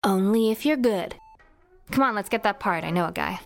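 A woman's voice: medium pitch, animated, normal speed, gravelly. A woman playing a dry, unimpressed, streetwise tinkerer with casual, exasperated delivery in a sci-fi comedy audio drama.

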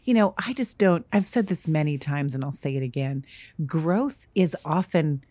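A middle-aged woman co-hosting a podcast who talks fast and runs her words together. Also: lively intonation, warm tone, dry recording. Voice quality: low voice. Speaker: podcast co-host. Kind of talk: casual podcast chat.

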